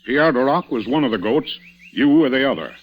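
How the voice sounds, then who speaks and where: An actor playing a shrewd, brisk, sardonic police inspector in an old-time radio suspense drama. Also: male voice, mid-range voice, expressive, highs cut off, lightly acted. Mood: hard-nosed. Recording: vintage radio broadcast recording.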